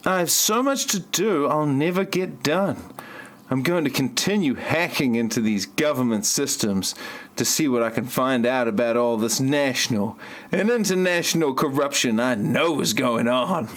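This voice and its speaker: A man's voice: mid-range voice, lively intonation, normal speed, lightly acted. A man playing an aggrieved novelist and secret hacker with a stilted, robotic delivery in an amateur parody audio drama. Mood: flat complaint. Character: stiff, aggrieved male novelist and self-styled hacker.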